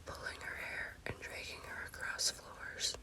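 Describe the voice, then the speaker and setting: A woman narrates a scary story as ASMR in an unvoiced, breathy whisper, in deliberate short phrases. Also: sharp tone. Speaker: storyteller, host.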